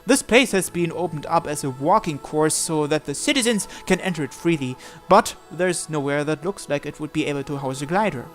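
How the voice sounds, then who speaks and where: A man's voice: a little high, fairly quick, few pauses, faint music behind. A man hosting a let's play, reading story narration aloud and breaking off for casual asides on the text. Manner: stumbles and breaks off to comment on the text.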